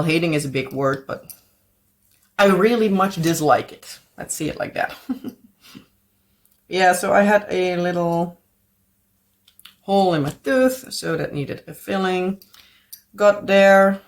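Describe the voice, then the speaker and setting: A woman with a Dutch accent, English being her second language, chats at home in an unhurried, easygoing way. She rambles, hunts for the right word and laughs softly at herself. Her voice sits at an ordinary middle pitch for a woman. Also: lively intonation. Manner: rambling, searches for words, laughs often. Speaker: craft vlogger. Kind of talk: casual chat while crafting.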